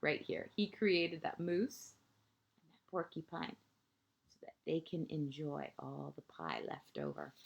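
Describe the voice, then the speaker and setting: A woman reading a picture book aloud to young children like a kindergarten teacher, gently and expressively, pausing between short phrases and often letting endings fall to a hush. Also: deep voice, sing-song, vocal fry, a little hiss or hum. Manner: deliberate pauses, short phrases, asides to young listeners.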